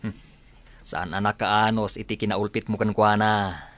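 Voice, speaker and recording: A man's voice: lowish voice, flat delivery, moderate pace, fairly bright tone, natural voice. An actor playing a sardonic male accomplice in an Ilocano radio drama. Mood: dry, conniving. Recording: studio drama over clear background music.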